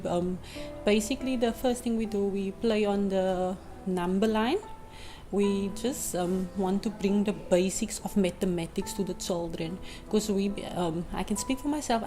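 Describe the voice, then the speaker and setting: Earnest South African woman, halting delivery. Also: medium pitch. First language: Afrikaans. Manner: earnest, with frequent hesitant ums. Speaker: community maths tutor and mother. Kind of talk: unscripted testimonial. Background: music.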